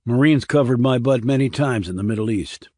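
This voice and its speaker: A composed military detective from a crime audiobook, acted by a male narrator. He speaks at a medium pitch, calm and businesslike, in a dry recording free of room sound. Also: fairly quick, dry recording, lightly acted. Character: composed, businesslike male army criminal investigator.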